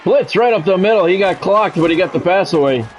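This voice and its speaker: A man's voice: high-pitched, lively intonation, brisk, slight background noise. An American man calling live football video game play-by-play in clipped announcer phrases, with casual asides. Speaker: play-by-play streamer.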